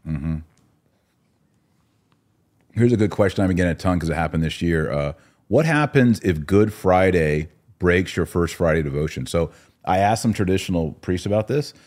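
A man with a notably deep voice hosts a casual religious discussion podcast. He explains things conversationally, as if chatting across a table. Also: lively intonation, moderate pace, darkish tone. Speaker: podcast host.

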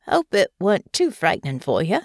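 A woman voicing a humble young male airship crewman with a soft, homey rural drawl and folksy grammar. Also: fairly low pitch, wildly swooping pitch, a little fast, no echo, clean studio sound. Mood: friendly. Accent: rural country dialect. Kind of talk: audiobook character voice.

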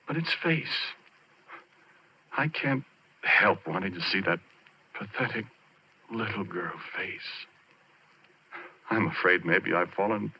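Obsessed ex-oil driller, American, haunted, halting confessional delivery, very sharp low voice, vintage radio horror. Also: male voice, husky, exaggerated voice. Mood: wistful, unhinged. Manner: halting, conversational, brooding with dramatic pauses. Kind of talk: 1940s radio horror acting, intimate first-person narration. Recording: dull, noisy 1940s radio recording.

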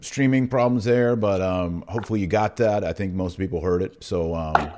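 A man's voice: low-pitched, moderate pace, darkish tone, a little hiss or hum. A man hosting a religious podcast in a casual, informal and colloquial chatting style. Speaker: podcast host.

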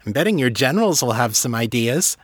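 A man's voice: very animated, fairly quick, dry recording, clean studio sound. A man voicing an eager, cheeky female elf servant in a storybook reading. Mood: gleeful. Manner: high, eager, flustered.

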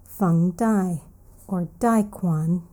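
Slow, dark-voiced woman teaching vocabulary. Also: fairly low pitch. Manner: slow, careful explaining, repeats key words. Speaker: English teacher and podcast host. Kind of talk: English vocabulary lesson for learners.

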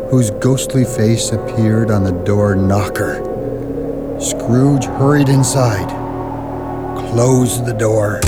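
A man narrating a radio drama as a storyteller, in a measured, scripted, dramatic style. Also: mid-range voice, natural voice. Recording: loud music behind the voice.

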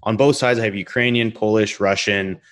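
A man from Canada hosting a podcast, chatting in a friendly, relaxed way with frequent restarts. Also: on the low side, brisk. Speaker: podcast host. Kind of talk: casual interview chat.

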